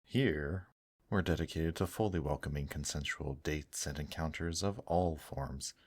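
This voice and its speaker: A man voicing a suave demon host in an intimate ASMR roleplay, courtly and gently teasing, addressing the listener with pet names. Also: a bass voice. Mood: welcoming, teasing.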